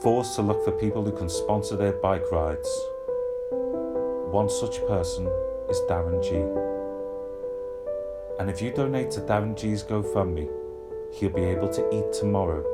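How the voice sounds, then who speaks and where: A man playing a solemn, pleading narrator in a mock charity advert. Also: sits low. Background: piano music.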